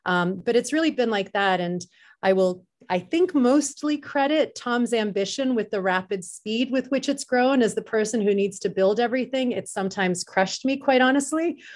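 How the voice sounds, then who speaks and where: A woman's voice: on the high side, normal speed. A woman speaking as a festival director in an interview, explaining things casually in a candid, emphatic way.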